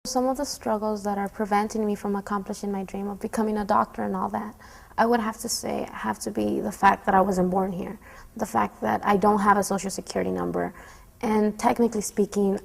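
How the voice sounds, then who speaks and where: A woman's voice: medium pitch, level tone, brisk, creaky, big-room sound, poor audio. A young woman from Honduras, a student, speaking earnestly and reflectively about her goals. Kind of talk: personal testimony.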